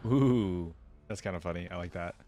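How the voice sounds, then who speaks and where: A man's voice: low voice, normal speed, muffled recording, noisy background. A man in his twenties giving casual, musing reaction commentary into a close desk microphone. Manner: musing, short interjections, echoes what he hears. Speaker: reaction video creator.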